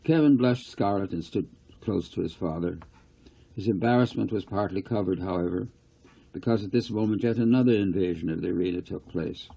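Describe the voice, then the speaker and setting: Male author-narrator for a novel, unhurried, soft-toned and raspy. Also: low voice, low-quality recording. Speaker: author reading own novel. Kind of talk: scripted audiobook narration.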